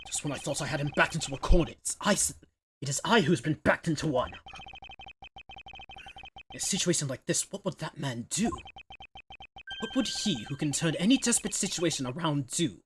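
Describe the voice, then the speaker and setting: A performer playing a proud, eloquent male prosecutor in a game fan dub, formal, deliberate and condescending. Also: medium pitch, wildly swooping pitch, faint music behind. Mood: pondering, determined.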